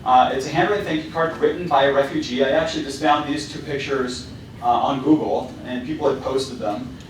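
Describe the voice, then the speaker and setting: A man's voice: mid-range voice, recorded from a distance, a little hiss or hum. A man giving a casual presentation as a company founder, with many fillers and restarts. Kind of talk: presentation to students. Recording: very echoey hall, voice from afar.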